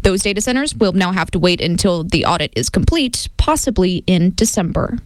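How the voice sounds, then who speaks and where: A woman anchoring a radio newscast in a steady, formal reading voice. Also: on the low side, fairly quick, no echo, poor audio. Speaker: news anchor.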